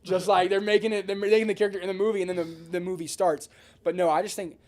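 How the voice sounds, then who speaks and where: A man's voice: high voice, flat delivery, quick pace, darkish tone. A man co-hosting a casual podcast, talking enthusiastically with plenty of slang and swearing. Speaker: podcast co-host. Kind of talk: casual podcast chat about video games.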